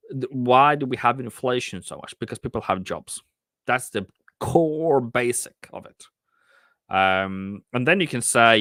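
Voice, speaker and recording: A man's voice: fairly low pitch, a bit bright, smooth, no room sound, clean studio sound. A man with a Norwegian accent, hosting a live trading stream, thinking aloud with many restarts and fillers and reading out chat. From Norway. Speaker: trading livestream host. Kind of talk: casual livestream commentary.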